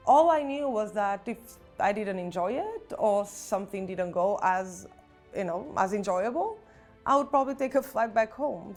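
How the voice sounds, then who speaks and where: A woman with a Portuguese accent speaks English thoughtfully, pausing and restarting her sentences as she reflects. Her pitch sits at an ordinary middle level for a woman. Soft music plays faintly behind her. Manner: reflective, frequent restarts and fillers. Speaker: nurse. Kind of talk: personal testimony interview.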